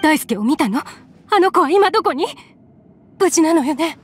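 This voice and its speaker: A woman voicing a gentle mother in a game, speaking softly and politely. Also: sits high, lively intonation, normal speed, soft background music. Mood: worried. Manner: soft, polite and anxious. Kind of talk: game voice acting.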